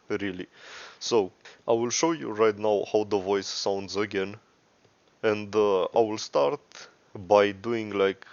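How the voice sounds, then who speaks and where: A man with a Romanian accent giving a casual, unscripted software tutorial, rambling and hesitant, often correcting himself. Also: on the low side, no echo. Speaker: tutorial host. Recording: dry, dull lo-fi microphone.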